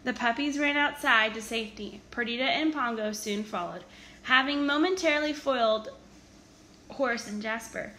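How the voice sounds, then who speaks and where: A woman reading a children's story aloud in an expressive, animated storytelling manner with emphatic stresses. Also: a little high, moderate pace, a little hiss or hum. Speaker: storybook reader.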